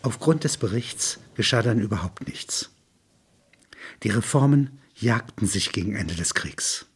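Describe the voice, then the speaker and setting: A man's voice: lowish voice, animated, measured pace, slightly rough. A man reading a literary story aloud, narration and quoted dialogue alike. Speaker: reader of a literary text.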